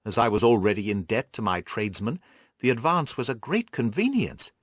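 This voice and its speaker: A man reading a classic story aloud as expressive, scripted literary narration for an audiobook. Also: mid-range voice, brisk, dry recording, clean studio sound.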